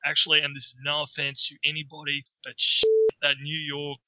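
Australian male podcast guest, very bright tone, rambling casual delivery, dull lo-fi sound. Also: a little high, completely flat delivery, a little fast, no echo. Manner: rambling, laughs often, self-corrects. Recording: dull lo-fi audio.

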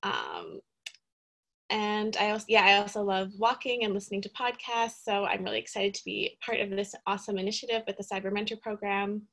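A woman's voice: medium pitch, flat delivery, brisk, vocal fry, a bit bright. A young woman from Calgary who works in student recruitment and introduces herself earnestly, with many "um" fillers. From Calgary, Canada. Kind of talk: casual self-introduction.

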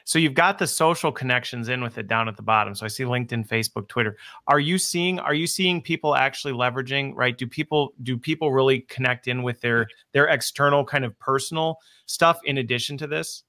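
Bright-voiced male interview host. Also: medium pitch, fairly quick, few pauses. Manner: affirming, summarizes the guest. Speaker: show host. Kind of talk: interview and sign-off.

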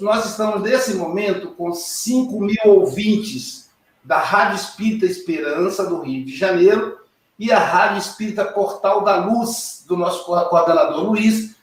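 A man hosting a live online show, warmly and effusively introducing his guests. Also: high voice. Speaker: host. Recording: very echoey, distant from mic.

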